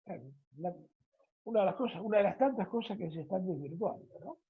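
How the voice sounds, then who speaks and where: Husky Argentine woman interviewer, phone-like sound. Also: mid-range voice, lively intonation, brisk. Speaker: interviewer, radio programme host. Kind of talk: conversational interviewing with commentary. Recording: thin phone-like audio.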